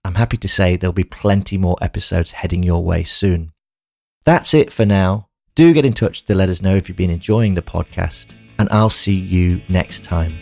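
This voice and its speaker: A man's voice: sits low, moderate pace, creaky, darkish tone, faint music behind. A man hosting a podcast, speaking directly to his listeners in an outro style. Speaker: podcast host.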